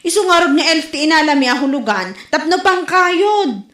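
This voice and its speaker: Woman doing a high pitched touchy village wife, ilocano accent, complaining, roomy echo, comedy drama. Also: lively intonation, moderate pace, fairly bright tone.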